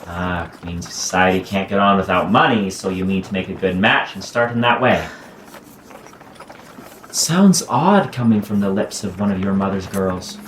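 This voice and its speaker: A man playing an idle, lovelorn young gentleman in a period audio drama, bantering wryly with a wounded edge. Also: sits low, normal speed, slight background noise, low-quality recording. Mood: probing, disapproving.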